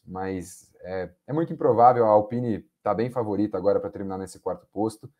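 A young man with a Brazilian Portuguese accent, giving measured, hesitant analysis on a podcast video call. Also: fairly low pitch, mellow. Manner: measured, hesitant, many fillers. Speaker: podcast panelist. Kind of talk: casual analytical chat.

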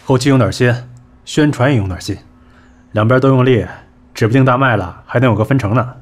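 An actor in a Chinese audio drama playing a sharp-tongued entertainment boss, blunt, scolding and colloquial. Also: male voice, mid-range voice, brisk, natural voice. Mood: businesslike.

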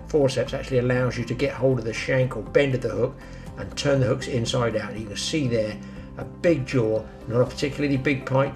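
Older man giving chatty fishing tips outdoors. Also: medium pitch. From England. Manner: practical, chatty, talks straight to viewers. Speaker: fishing show host. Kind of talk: instructional outdoor fishing talk. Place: outdoors by a reedy pond. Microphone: camera mic, some lines from further off.

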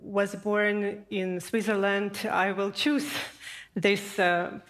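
A woman in her thirties from Ukraine with a Ukrainian accent, answering questions in a measured, deliberate way on a conference stage. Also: medium pitch, level tone, a bit bright. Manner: measured, deliberate, non-native phrasing. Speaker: human rights lawyer, guest. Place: large conference stage before an audience. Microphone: headset mic.

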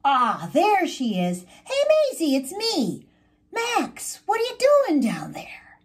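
An earnest young boy caterpillar from a storybook, acted out by a woman reader. He speaks in a high, swooping voice with a husky edge, drawing out his words eagerly. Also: exaggerated voice. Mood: searching, calling. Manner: expressive, drawn-out calling and musing.

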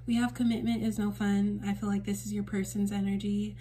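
A woman with a temporarily raspy voice giving a casual spiritual card reading to camera at home, gentle and reassuring with frequent fillers. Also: mid-range voice, flat delivery, brisk. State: raspy voice for a few days. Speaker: tarot reader and channel host. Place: indoors at a table in a home room.

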